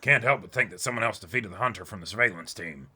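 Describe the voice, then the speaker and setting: A man with a bright, sharp-edged voice co-hosts a comic read-along stream. He chats informally and jokes, switching into reading dialogue out loud. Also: on the low side, fast, slightly rough. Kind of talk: casual chat and reading dialogue aloud.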